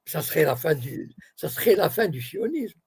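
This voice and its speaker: A man's voice: on the high side, sing-song, moderate pace, gravelly, a little mellow, breathy edge. A man from Morocco whose first language is Arabic, answering questions as an interview guest and explaining point by point with many restarts.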